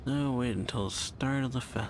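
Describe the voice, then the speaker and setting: A woman's voice: rumbling low, fairly quick, noisy background. A woman giving casual, laconic commentary in short asides as she reacts to gameplay. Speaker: game streamer reacting.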